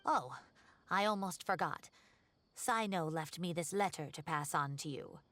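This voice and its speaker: A fretful woman professor with a low voice. She frets and fusses in a game cutscene, calm underneath. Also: measured pace, dry recording, full character voice. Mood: worried. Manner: fretful, sighing "oh dear". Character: worried elderly woman professor.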